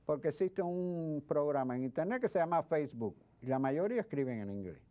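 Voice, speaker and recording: A man speaking as a guest expert in an interview, explaining things in a halting way with repeated words. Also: on the high side, expressive. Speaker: guest expert, history professor. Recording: dull lo-fi sound.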